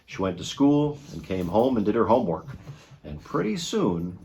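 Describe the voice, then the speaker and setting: A man reading a children's picture book aloud in an expressive storytelling style. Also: on the low side, normal speed, a little hiss or hum, poor audio.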